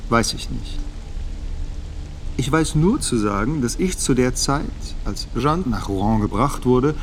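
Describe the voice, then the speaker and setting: An actor playing a careful middle-aged theology professor giving formal, measured testimony in a radio drama. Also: male voice, medium pitch, darkish tone, slight background noise. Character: guarded, careful 56-year-old theology professor testifying as witness.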